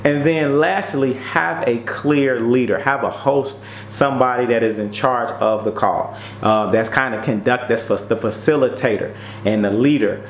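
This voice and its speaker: A man with a mid-pitched voice presents practical tips in an informal, instructional way. He addresses the listener directly and repeats points for emphasis. The recording is distinctly roomy and echoey, with a faint hum behind him. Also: normal speed. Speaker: presenter giving business tips. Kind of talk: informal how-to talk, numbered tips.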